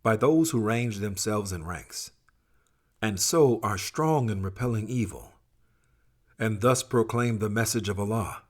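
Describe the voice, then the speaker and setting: A man's voice: on the low side, measured pace. A man reading scripture from a script in a solemn, emphatic, dramatic way. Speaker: scripture reader. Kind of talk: expressive scripted scripture recitation.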